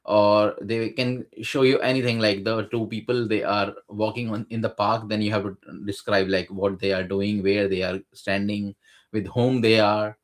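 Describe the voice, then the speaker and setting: Low-voiced man explaining with many fillers. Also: little pitch movement, moderate pace. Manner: hesitant, many fillers and restarts. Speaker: guest sharing exam experience. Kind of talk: casual conversational explanation.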